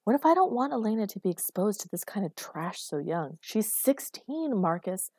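A woman's voice: medium pitch, very animated, normal speed, mellow, slightly rough, dry recording, studio quality. A woman voicing a bitter, scornful mother in her thirties who berates and cuts people down in audiobook dialogue. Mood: bitter, accusing. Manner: berating, cutting.

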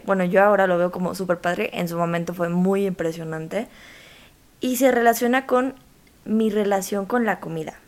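A woman with a Mexican accent hosting a conversational podcast, speaking informally and straight to the listener. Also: mid-range voice. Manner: informal, addresses listener directly. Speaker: podcast host.

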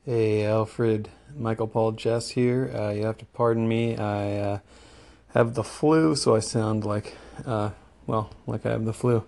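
Sick male caller, gravelly and dark, flat and slow, hesitant voicemail. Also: lowish voice. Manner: hesitant, halting, with many fillers. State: sick with the flu. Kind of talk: recorded voicemail message.

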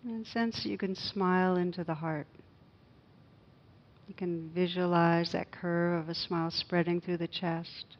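A woman meditation teacher speaks very slowly and gently, leaving long pauses as she guides a loving-kindness practice. Her voice sits on the low side and is hushed. The recording is a little dull and muffled. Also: fairly even intonation.

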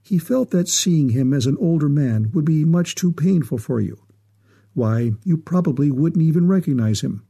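A man voicing an older male official who speaks evenly and formally, holding back quiet sorrow. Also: on the high side, creaky, breathy edge, exaggerated voice. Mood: restrained. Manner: even, measured, formal.

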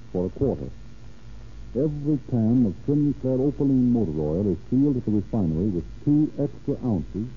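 A man working as narrator and announcer, reading scripted copy in 1930s radio style. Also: mid-range voice. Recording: tinny, narrowband old radio recording with hum.

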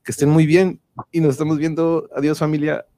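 A man's voice: on the high side, level tone, brisk, mellow, no room sound. A man with a Mexican accent hosting a casual family livestream, chatty and affectionate in a familiar way. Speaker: host of a live online show.

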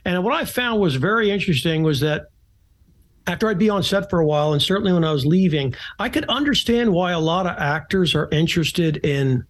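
Male podcast guest, slight Philly accent, fairly high voice, laid-back and reflective delivery. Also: expressive, a little fast. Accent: slight Philadelphia. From Philadelphia area, United States. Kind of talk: casual podcast chat.

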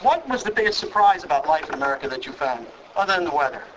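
A man with a Brooklyn accent hosting an interview, asking brisk, friendly questions with frequent restarts. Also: fairly high pitch, big pitch swings, a bit bright, slight background noise, low-quality recording. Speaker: talk show host. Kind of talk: interviewing guests.

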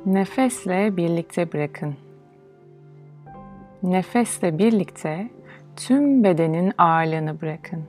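A woman with a fairly low voice leads a guided relaxation meditation. She speaks in a soothing, measured manner, pausing between phrases, with music clearly behind her. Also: lively intonation, darkish tone. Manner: slow, soothing, measured delivery with pauses. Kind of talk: guided yoga nidra meditation, instructional.

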